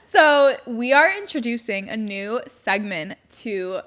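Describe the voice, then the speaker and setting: A woman's voice: fairly high pitch, animated, slow, a bit bright. A young woman co-hosting a podcast with her sister, upbeat and playful in casual chat. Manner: upbeat, playful, says "like" often. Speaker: podcast co-host.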